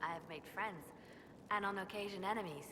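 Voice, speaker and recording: An actress playing a poised, guarded advisor in a dramatic game scene, speaking formally and with composure. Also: female voice, medium pitch, fairly quick, noisy background, lightly acted. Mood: guarded, hopeful. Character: poised female spymaster-like advisor, devout and guarded. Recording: echoey hall, clear background ambience.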